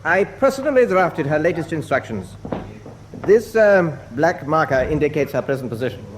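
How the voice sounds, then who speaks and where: An actor playing a guarded, formal German admiral at a U-boat base, speaking in measured radio drama style. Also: male voice, on the high side, very animated, slight background noise, rough recording. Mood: formal, assured.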